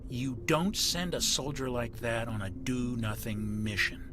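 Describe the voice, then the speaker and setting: A man's voice: medium pitch, unhurried, music in the background, lightly acted. An actor playing a wary, plain-spoken male military ship's officer in a video game, respectful but emphatic and suspicious.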